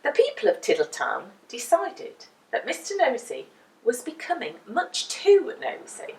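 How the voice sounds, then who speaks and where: A woman reads a children's storybook with dramatic emphasis and swooping intonation. Her delivery is slow and careful, and she is heard in an echoey room. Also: high voice. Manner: animated, deliberate, dramatic emphasis. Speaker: storyteller reading a children's book. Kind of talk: expressive storytime narration for children. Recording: roomy echo, distant microphone.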